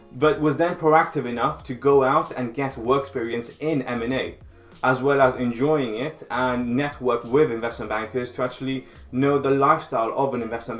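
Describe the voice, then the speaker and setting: A man speaking at a mid pitch gives direct, advisory coaching in a scripted instructional video. His voice sits in a roomy space. Soft music plays faintly underneath. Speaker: career coach.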